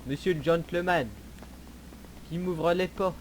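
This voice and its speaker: A man with a notably high voice improvises banter as he plays an online roleplay game. He is teasing and crude, clowning around and repeating himself, with faint noise in the recording. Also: steady tone, unhurried, poor audio.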